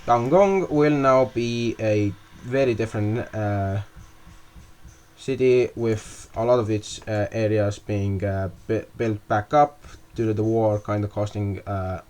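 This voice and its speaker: Slow, halting young man narrating maps. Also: fairly low pitch, a little mellow, clear, resonant tone. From half Russian. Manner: halting, many fillers and restarts. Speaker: mapping video creator. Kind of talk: casual map narration.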